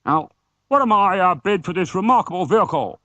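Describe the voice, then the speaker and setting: A British man voicing a loud, theatrical auctioneer in a storybook, calling out to the sale. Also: high voice, lively intonation, fairly quick, no echo, highs cut off, voice pushed up. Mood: brisk, commanding. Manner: calling out, drawn to a crowd.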